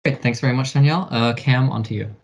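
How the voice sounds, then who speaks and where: A man's voice: medium pitch, quick pace, gravelly. A man moderating a student election debate in an orderly, procedural way.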